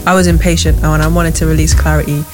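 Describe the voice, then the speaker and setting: A woman's voice: sits low, little pitch movement, quick pace. A British woman from Wales chatting casually as a guest musician, laid-back and slangy, repeating words. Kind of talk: casual chat. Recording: music playing behind the voice.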